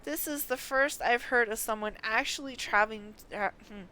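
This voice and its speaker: A woman reading game quest dialogue as a curious, self-important female scholar with a formal, deliberate, sometimes halting delivery. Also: on the high side, sharp tone. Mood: measured, curious. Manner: formal, deliberate, halting over odd words. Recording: clearly noisy background.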